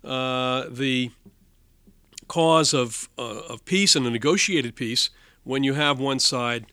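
A man hosting a formal policy interview, speaking in measured, halting phrases. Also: mid-range voice, pushed and bright, smooth, dry recording. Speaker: interview host.

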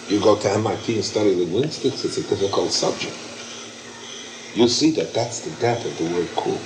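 A man's voice: on the low side, background noise. A man leading an informal question-and-answer session, emphatic and repetitive as he explains. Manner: warm, emphatic, repeats himself. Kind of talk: informal Q&A lecture.

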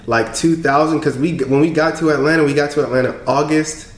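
A young man from Congo, a podcast guest, telling his story in casual, animated chat with frequent laughs. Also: on the high side, fairly quick. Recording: echoey room.

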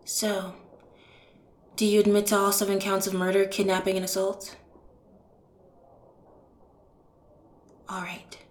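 A woman's voice: medium pitch, completely flat delivery, lightly acted. Woman acting stern detective in an echoey room. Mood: restrained, pressing. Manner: cold, contemptuous, deliberate. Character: stern, disgusted female police detective interrogating a killer. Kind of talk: audio roleplay acting. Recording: roomy echo on the voice.

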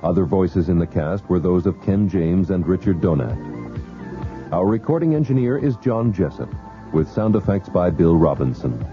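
Deep-voiced formal radio announcer man. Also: lively intonation. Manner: measured, formal. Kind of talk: reading closing credits. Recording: dull lo-fi radio recording with music.

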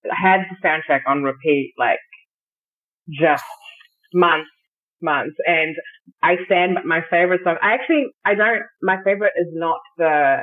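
A woman's voice: fairly low pitch, measured pace. Giggly woman on a tinny phone-like line. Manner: giggly, playful, informal. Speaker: podcast guest. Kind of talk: casual chat between friends. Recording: tinny, phone-like call audio.

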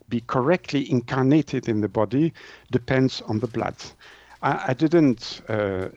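Halting man with a French-speaking Swiss accent. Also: mid-range voice, darkish tone, no echo. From Switzerland. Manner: halting, searching for words, self-correcting. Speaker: guest, naturopath and author. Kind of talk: explanatory interview answers. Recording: muffled, dull lo-fi audio.

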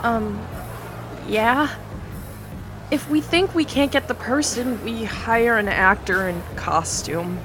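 A woman's voice: a little high, takes it slowly, over music. A woman playing an earnest young charity worker, hesitant and eager, with some stammering. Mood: nervous. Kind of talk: audio drama acting.

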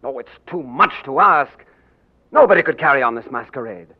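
An actor in a 1940s radio drama playing an English gentleman who poses as a king, with a light, wry delivery. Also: mid-range voice, expressive, moderate pace, lightly acted. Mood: troubled. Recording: tinny, noisy old radio broadcast.

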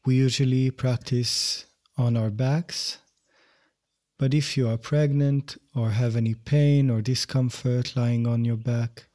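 A man teaching yoga nidra, guiding a meditation in gentle, measured, unhurried phrases. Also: medium pitch. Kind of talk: guided meditation instruction.

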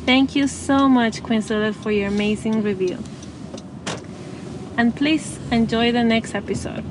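A woman's voice: fairly high pitch, unhurried. A woman hosting a parenting podcast, upbeat and encouraging with her guest. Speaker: podcast host. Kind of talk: casual podcast interview. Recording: loud traffic noise behind.

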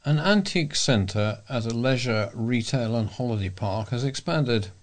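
A British man reading local news and letters aloud as a volunteer, in a measured way. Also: mid-range voice, expressive.

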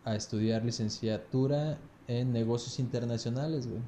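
Mexican man in his 30s, podcast guest, dark mellow voice, relaxed and foul-mouthed, echoey room. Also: mid-range voice, normal speed, slight background noise. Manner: relaxed, crude slang, ends phrases with "güey". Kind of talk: casual storytelling chat.

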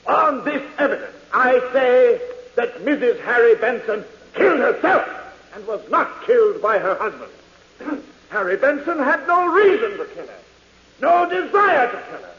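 Play a pleading defense attorney, pitched high; vintage radio tone. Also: male voice, big pitch swings, normal speed, a bit bright, big-room sound, full character voice. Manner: oratorical, pleading. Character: impassioned defense lawyer, man. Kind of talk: 1940s radio acting. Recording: dull old radio recording.

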